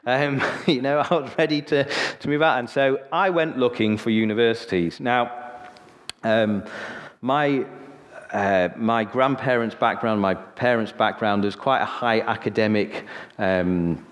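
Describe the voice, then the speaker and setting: A man's voice: medium pitch, measured pace, long reverb tail. A man in his forties from Sheffield with a northern English accent, preaching a sermon in a chatty storytelling way, often checking in with "okay?". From Sheffield, northern England. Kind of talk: sermon with personal testimony.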